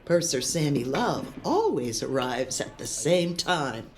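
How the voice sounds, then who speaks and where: Game master, tabletop narration, slow pace, lively pitch.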